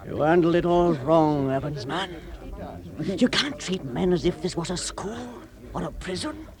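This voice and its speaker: An actor playing a miner who firmly reproaches a comrade. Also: male voice, a little high, a little slow, crowd noise. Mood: reproachful. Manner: firm, reproachful, pleading.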